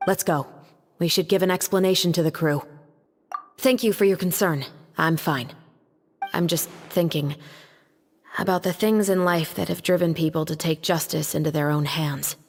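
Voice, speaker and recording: An actress voicing a composed, principled young female law officer in a game cutscene, speaking in an earnest, deliberate, formal way. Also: fairly low pitch, fairly even intonation, a little hiss or hum, lightly acted. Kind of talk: dramatic video game cutscene acting. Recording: long reverb, music underneath.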